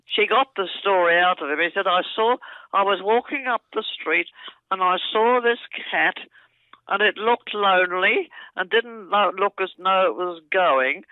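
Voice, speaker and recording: An older Australian woman chatting and telling a rambling family story on the phone, laughing often and talking loudly. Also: mid-range voice, no echo. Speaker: aunt recalling family stories on a phone call. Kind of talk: casual phone chat, storytelling. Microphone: telephone. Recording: tinny phone line, dry.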